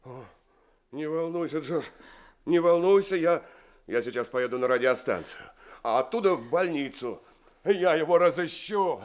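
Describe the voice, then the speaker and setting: A caring young man reassures the boys, as an actor plays him. His voice sits high for a man. He sounds gentle and friendly. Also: expressive, moderate pace, pauses often, natural voice. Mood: reassuring. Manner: warm, reassuring.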